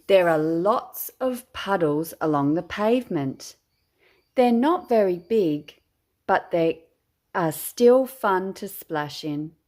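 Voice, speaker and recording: An Australian woman, a teacher, reading a children's picture book aloud with deliberate, expressive phrasing. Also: on the low side. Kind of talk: children's storytime read-aloud.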